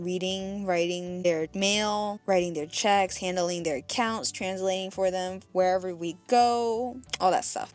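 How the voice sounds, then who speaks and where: A woman's voice: fairly low pitch, darkish tone. A young American woman from the United States, narrating her childhood in a chatty voice-over and laughing at herself along the way. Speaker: vlogger. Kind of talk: casual storytelling voice-over.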